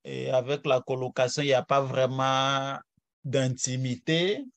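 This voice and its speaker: Halting man introducing himself on a call. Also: mid-range voice, steady tone, gravelly, dry recording, highs cut off. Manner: halting, many restarts. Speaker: workshop participant. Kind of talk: personal introduction.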